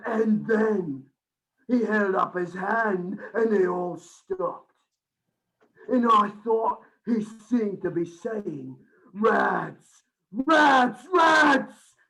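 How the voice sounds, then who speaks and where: An actor playing a fervent asylum madman in thrall to a vampire, in theatrical, halting radio play style. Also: high-pitched, gravelly, fairly bright tone, heavily acted, poor audio. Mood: feverish raving. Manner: halting, fervent, dramatic delivery. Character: raving madman asylum inmate enthralled by a vampire.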